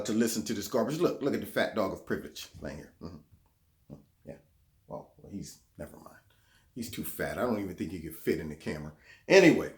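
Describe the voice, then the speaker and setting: A man's voice: a little high, a bit bright. Sarcastic man hosting a political vlog. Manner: sarcastic, repeats words when heated. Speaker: vlog host.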